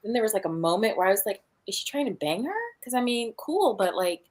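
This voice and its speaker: A woman's voice: mid-range voice, animated, fast talker, a little mellow. A woman chatting casually about books on a livestream, with a blunt, exasperated way of talking. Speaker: book reading livestream host.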